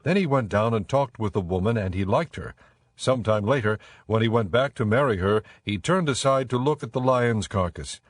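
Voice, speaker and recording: A man narrating scripture for a dramatized audiobook in a measured, solemn, scripted style. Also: lowish voice, expressive, dry recording.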